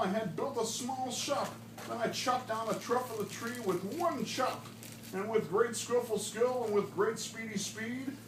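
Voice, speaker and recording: A man voicing an old, reclusive storybook creature who tells a wistful tale with drawn-out emphasis. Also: high-pitched, voice pushed up. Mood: excited. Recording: echoey noisy classroom, distant mic.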